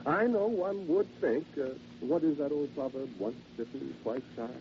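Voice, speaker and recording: An actor playing a polite, halting, quietly sinister henpecked salesman in a theatrical vintage radio horror monologue. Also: on the high side, close to an everyday voice. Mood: chatty, genial. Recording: noisy, dull vintage radio recording.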